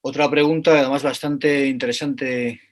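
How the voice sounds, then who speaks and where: A man's voice: medium pitch, steady tone, normal speed. A man moderating a seminar session, reading audience questions aloud with some hesitations.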